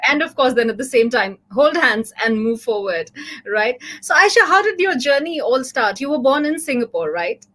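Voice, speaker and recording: A woman's voice: high-pitched, very animated, moderate pace, a bit bright. A woman hosting a casual interview, effusive and chatty, laughing often. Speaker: host.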